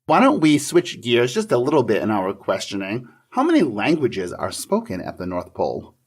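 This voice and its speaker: A man's voice: mid-range voice, wildly swooping pitch, normal speed, slightly rough. A man hosting a podcast, interviewing in a polite, playful, partly scripted style.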